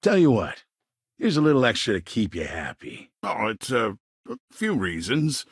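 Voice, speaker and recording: An actor playing a slick, corrupt colonial politician in a video game, smooth-talking, smug and condescending with small evasive hesitations. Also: male voice, medium pitch, huge pitch swings, normal speed, dry recording, studio quality, heavily acted. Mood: sly, persuasive. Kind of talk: video game character dialogue.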